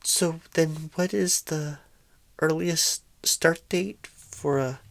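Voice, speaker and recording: A woman's voice: low voice, takes it slowly, creaky, background noise, heavily acted. A woman playing a shy, nervous, inexperienced job recruiter in an ASMR roleplay, stammering and hesitating awkwardly. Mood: unsure, hesitant.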